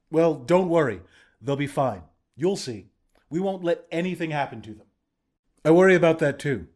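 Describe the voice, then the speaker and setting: An actor playing an earnest, friendly young rebel leader in a video game, speaking in a sincere, conversational way. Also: male voice, a little high, a little mellow, natural voice. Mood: friendly, reassuring, then worried. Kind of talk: video game character dialogue.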